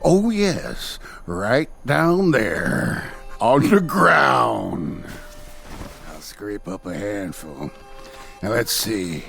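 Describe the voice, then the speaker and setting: Greedy radio-drama con man, creaky gravel voice, swooping pitch, wheedling, mocking. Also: fairly bright tone, full character voice. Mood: gleeful scheming. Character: sly greedy swindling peddler, a man.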